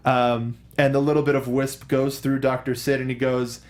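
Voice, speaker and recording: A man hosting a casual movie discussion, talking in a rambling, halting way and often correcting himself mid-sentence. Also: medium pitch, little pitch movement. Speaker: film discussion show host. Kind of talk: casual movie review chat.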